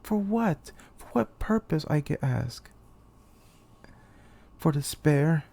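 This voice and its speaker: A man playing a betrayed, despairing young military commander in a theatrical, halting anime-game monologue. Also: fairly high pitch, lively intonation, gravelly, mellow, exaggerated voice. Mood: pleading disbelief. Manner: theatrical, halting, anguished delivery. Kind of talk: dramatic monologue, anime-game fan voice reel.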